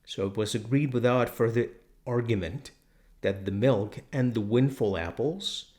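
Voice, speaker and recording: A man narrating a radio-drama audiobook in a measured, steady storytelling manner. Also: mid-range voice. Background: music.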